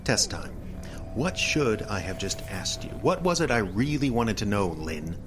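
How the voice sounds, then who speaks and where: A rattled, distrustful ex-teacher in an audio drama, acted by a man, with a low-pitched voice. He argues haltingly and with a sarcastic edge. Clear ambient noise sits behind him. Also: big pitch swings. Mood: wary, argumentative. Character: wary, rattled male ex-teacher and repeated abductee.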